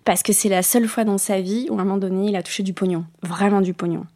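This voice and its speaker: A woman's voice: mid-range voice, steady tone, quick pace, dark tone, dry recording. A middle-aged woman from France talking reflectively as an interview guest, with many hesitations. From France, born in Bayonne. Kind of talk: casual interview talk.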